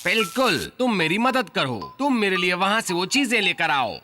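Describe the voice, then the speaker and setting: A man voicing an excitable, boastful scientist adventurer in a cartoon dub, theatrical and self-assured. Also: high-pitched, normal speed, over music. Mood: reassuring. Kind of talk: Hindi cartoon dub acting.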